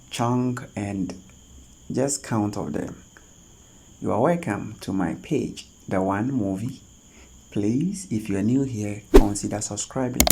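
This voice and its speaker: A tutorial host talking through phone app steps one at a time, in a halting, instructional way. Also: mid-range voice, mellow. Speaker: host of a tech tutorial channel. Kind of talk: spoken step-by-step screen tutorial.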